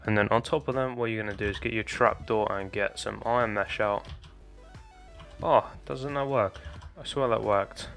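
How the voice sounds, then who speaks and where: Young man, lowish voice, slightly hoarse, casual walkthrough, faint music under. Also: moderate pace, fairly bright tone. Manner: chatty, talks viewers through steps, addresses them as guys. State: slightly hoarse, losing his voice. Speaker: Minecraft building tutorial creator. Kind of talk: casual gameplay tutorial commentary.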